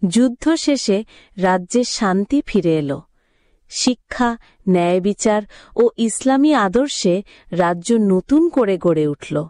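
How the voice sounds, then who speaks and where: A woman's voice: medium pitch, takes it slowly, no room sound, studio quality. Woman narrating a moral story. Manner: even, didactic storytelling.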